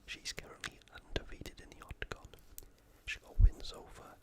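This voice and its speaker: A host whispering an informal, opinionated sports preview in ASMR style, all breath and no voice. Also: moderate pace. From United Kingdom.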